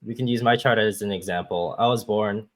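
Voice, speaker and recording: A man's voice: fairly low pitch, fairly quick. A man from Hong Kong, a guest astrologer, patiently explaining step by step over a video call. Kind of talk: explaining with shared screen.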